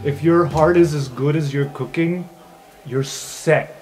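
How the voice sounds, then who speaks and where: A man's voice: on the high side, steady tone, unhurried, a little mellow, soft background music, lightly acted. A man playing a confident, tipsy college party guy in casual, slangy improvised tabletop roleplay. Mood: teasing, confident.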